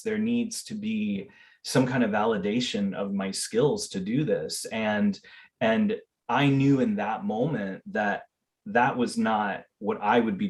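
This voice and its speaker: A man's voice: lowish voice, a little mellow. A middle-aged man who grew up speaking Spanish, telling stories in a casual interview with many fillers and restarts. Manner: earnest storytelling with fillers and restarts. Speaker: interview guest.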